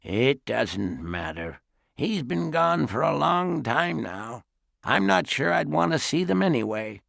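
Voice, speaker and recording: Gravelly, sing-song frail old great-aunt played by a male narrator, wistful, for a storybook. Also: fairly high pitch, big pitch swings, no echo, studio quality, voice pushed up, exaggerated voice. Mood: sad. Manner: soft, slow and reminiscing. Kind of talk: audiobook character voice.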